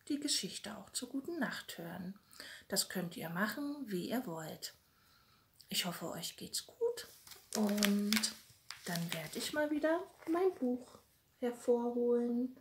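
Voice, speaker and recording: A middle-aged woman gently reading a bedtime story for children, speaking softly and directly to her little listeners. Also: on the high side. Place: small room at home. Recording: roomy, echoey home recording.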